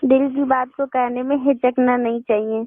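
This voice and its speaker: A woman's voice: high voice, steady tone, moderate pace, slight background noise. A woman speaking insistently and pleadingly in an informal phone conversation. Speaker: phone caller. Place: phone call, voice heard down the line. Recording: tinny phone call recording.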